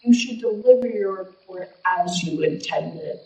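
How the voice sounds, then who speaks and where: A woman acts out a composed alien elder stateswoman and mother in an audio drama. Her mid-pitched voice is formal, careful and restrained, ringing in a roomy echo. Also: measured pace, creaky, warm tone. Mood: cautioning. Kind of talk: scripted audio drama dialogue.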